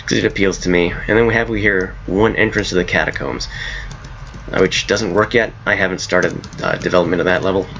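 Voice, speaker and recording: Man, hoarse, deep voice, rambling gameplay commentary, dull home mic, faint hiss. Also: steady tone, fairly quick, a bit bright. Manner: rambling, self-correcting, laughs at his own ideas. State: hoarse from a cold. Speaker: game modder showing his mod.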